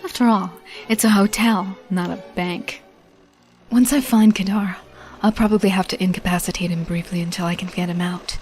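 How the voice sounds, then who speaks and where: A woman playing a determined female thief, narrating a game cutscene in a measured, confiding, resolute way. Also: on the low side, steady tone, a little mellow, close to an everyday voice. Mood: determined, planning. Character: determined female thief narrating her stealth rescue mission. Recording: music under the voice. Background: background music.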